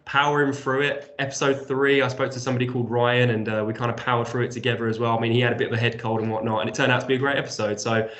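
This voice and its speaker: A man's voice: medium pitch, fairly even intonation, rapid-fire, hardly any pauses, fairly bright tone. A man hosting an interview podcast, speaking with a cold and rambling a little, with many fillers and repeated words. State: has a cold. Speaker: podcast host. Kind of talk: casual interview chat.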